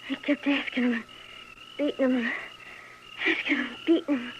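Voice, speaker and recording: A performer playing a frightened young farm boy in an old radio western, halting, earnest and pleading in speech. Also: high-pitched, little pitch movement, close to an everyday voice. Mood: dazed terror. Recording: dull, faintly noisy vintage radio recording.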